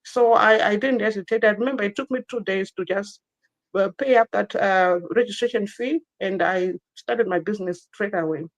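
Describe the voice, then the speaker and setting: A woman's voice: mid-range voice, moderate pace, poor audio. A woman in her thirties from Zimbabwe, a guest telling her personal story in an online interview, earnest and given to repeating herself.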